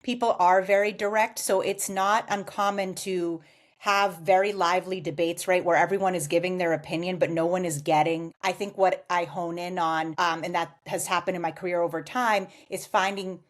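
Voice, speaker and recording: An American woman in her forties speaks in a calm, explanatory way, answering a host's questions. Her voice sits a little low for a woman. She lays out her points steadily, one step at a time. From United States. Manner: measured, explanatory. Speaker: guest, customer success executive. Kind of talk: podcast interview answers.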